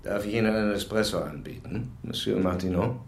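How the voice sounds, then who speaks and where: A man playing a controlled, probing police commissioner in a radio drama, measured, formal and authoritative. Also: lowish voice, background noise, lightly acted. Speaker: lead actor in a crime radio drama.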